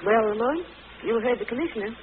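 A woman's voice: on the high side, animated, quick pace, heavy background noise, natural voice. An actress playing a keen, pert woman who questions her sleuth companion, in 1940s radio acting style. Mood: keen, inquisitive. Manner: pert, inquisitive, theatrical. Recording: tinny old radio recording, background murmur.

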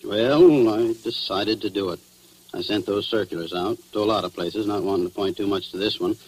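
A man's voice: on the low side, normal speed, close to an everyday voice. An actor playing a cynical crooked policeman with slangy tough-guy talk, in 1940s radio drama style. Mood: confessing, rueful. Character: crooked male cop confessing to murder, slangy, cynical. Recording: noisy, humming 1940s radio recording.

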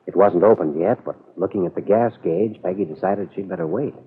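Muffled vintage broadcast, low-pitched American man, husky, measured dramatic storytelling, scripted narrator.

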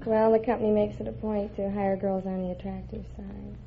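A woman's voice: medium pitch, little pitch movement, brisk. A young woman answering politely as a contestant on a classic radio quiz show. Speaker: quiz contestant, airline hostess. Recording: dull, noisy vintage radio recording. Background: studio audience.

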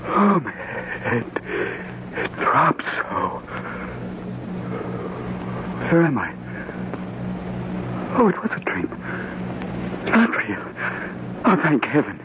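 An actor playing an obsessed, tormented murderous man with halting, intense delivery in the melodramatic style of forties radio horror. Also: fairly high pitch, sing-song, muffled recording, full character voice. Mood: dazed. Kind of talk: 1940s radio horror acting. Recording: noisy, band-limited old radio recording.

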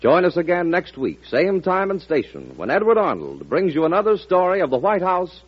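A man's voice: sits high, wildly swooping pitch, normal speed. An American man making promotional announcements in the style of a 1940s network radio announcer. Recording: husky, muffled old radio broadcast.

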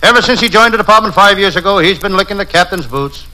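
An actor playing a wisecracking parody private detective, narrating gags deadpan in old-time radio comedy style. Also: male voice, high voice, fast talker, full character voice. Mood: wry. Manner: deadpan gag-telling narration. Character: wisecracking hard-boiled parody private detective, adult man. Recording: noisy, crackling vintage radio recording.

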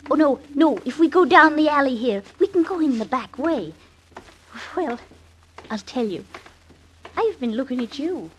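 A woman's voice: high voice, lively intonation, normal speed, pauses often. An actress playing a chatty, flirtatious Victorian woman in a coy, coaxing manner, in 1950s radio drama style. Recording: noisy vintage radio recording with clicks.

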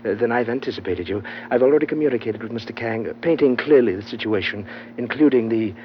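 An actor in an old-time radio adventure playing a genteel, scheming underworld trader with flowery, fussy, unctuously polite speech. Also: male voice, medium pitch, fast, natural voice. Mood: oily, courteous. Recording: dull, noisy vintage radio recording.

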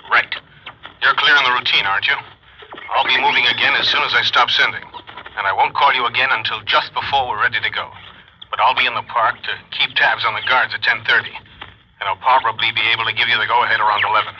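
Cold criminal mastermind, brisk orders, piercingly forceful tone, dull vintage radio sound. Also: male voice, medium pitch, fairly even intonation, slight background noise. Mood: businesslike. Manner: brisk, businesslike, commanding. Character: cool, controlling male heist mastermind, coldly threatening. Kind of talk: radio drama acting. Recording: muffled vintage radio recording.

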